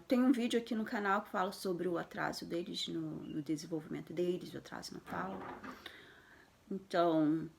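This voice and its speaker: A Brazilian woman in her thirties talks straight to her viewers with a Brazilian Portuguese accent. She speaks at an ordinary middle pitch. She keeps it loose and conversational, backing up to restart phrases and tossing in little tag questions. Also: gravelly. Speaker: vlogger, mother of twins. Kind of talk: casual talk to camera.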